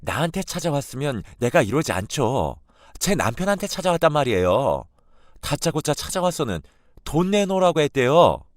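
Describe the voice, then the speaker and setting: A man's voice: medium pitch, big pitch swings, moderate pace, no room sound, studio quality, lightly acted. A man voicing a young wife who reacts with shock and anger in a read-aloud story. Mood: furious. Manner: shocked, then shouting. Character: young wife, hurt and furious.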